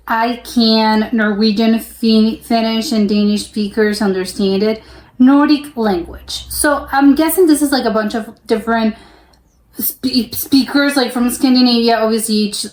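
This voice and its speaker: A young woman from the United States hosting a reaction video, rambling casually with lots of "like" fillers and restarts. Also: fairly high pitch. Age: young adult. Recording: roomy echo.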